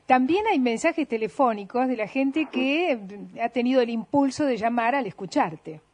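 A woman hosts a radio show with a slightly raised pitch. She sounds upbeat as she introduces listener messages. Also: animated, moderate pace, a little mellow. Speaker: radio host. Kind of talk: radio hosting.

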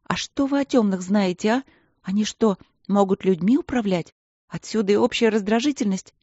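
Woman playing an earnest young princess, higher voice. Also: normal speed, dark tone, no room sound, dull audio, close to an everyday voice. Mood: curious. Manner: naive, indignant, curious. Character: earnest, easily offended young princess student.

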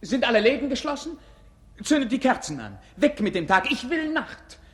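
An actor playing a bored, capricious young prince in a 1950s German radio play, theatrical, poetic and mocking. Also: male voice, very high-pitched, big pitch swings, normal speed, fairly bright tone, husky, exaggerated voice. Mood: imperious.

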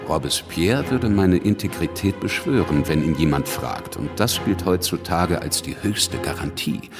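An actor playing a shrewd, cynical revolutionary politician who speaks dismissively in a radio play monologue. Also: male voice, deep voice, lively intonation, normal speed. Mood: self-assured. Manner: sardonic, dismissive. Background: music.

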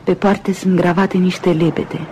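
An actress playing a dreamy, romantic upper-class woman in a Romanian radio drama, speaking softly and musingly with pauses. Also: lowish voice, steady tone, slight background noise, lightly acted. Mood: yearning, wistful. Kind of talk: Romanian radio theatre acting.

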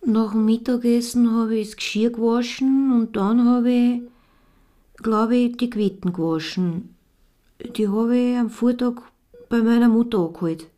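An actress in a radio drama playing a troubled, devoted wife and mother under questioning, with an Austrian accent and a breaking, halting delivery. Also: on the high side, mellow, natural voice. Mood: bewildered, agitated. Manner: halting, repetitive, emotionally fragile. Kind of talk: naturalistic radio drama acting.

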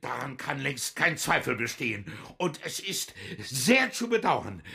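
An actor playing a pompous, indignant scholar who speaks with slow deliberation in a radio drama. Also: male voice, fairly high pitch, gravelly, sharp tone, full character voice.

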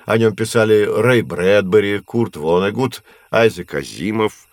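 Patient professor, low register, measured teaching delivery, dry close sound, educational audio drama. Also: male voice, no room sound. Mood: instructive. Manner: explanatory, measured, didactic. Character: male astronomy professor, patient, knowledgeable teacher of curious children.

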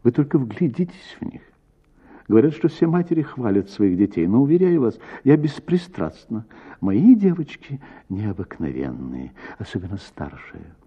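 A man's voice: mid-range voice, big pitch swings, normal speed, slightly rough, pitched above the performer's own voice. A male reader plays a doting young mother in a literary audio story, full of pride. The delivery swoops widely up and down and every word is cleanly sounded, on a slightly dull recording. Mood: proud, fond. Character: Tatyana, doting proud tearful young mother.

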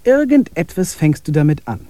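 A man's voice: a little high, big pitch swings, normal speed, dark tone, no echo. A man playing a skeptical, rational camper in a radio play dialogue.

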